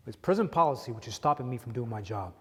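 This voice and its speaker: A man's voice: mid-range voice, expressive, close to an everyday voice. Man acting a courteous prison doctor, echoing hall. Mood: frustrated, pleading. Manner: measured, courteous, firmly persuasive. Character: polite, insistent male prison doctor pleading for his patient. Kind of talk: dramatic monologue acting. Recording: long echoing hall reverb.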